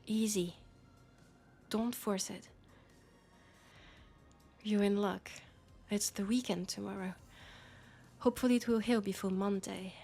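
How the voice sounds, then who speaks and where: A woman's voice: medium pitch, steady tone, measured pace, vocal fry, long pauses, warm tone, soft background music. French-accented policewoman, grave and caring, acted by a woman. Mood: gentle, concerned. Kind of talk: intimate sci-fi audio roleplay monologue.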